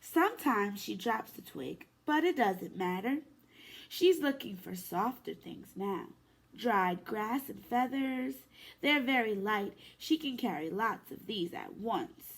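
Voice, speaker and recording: A woman preschool teacher reading a picture book aloud to young children in an expressive, sing-song way. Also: medium pitch, takes it slowly, rough recording. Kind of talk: children's story time read-aloud.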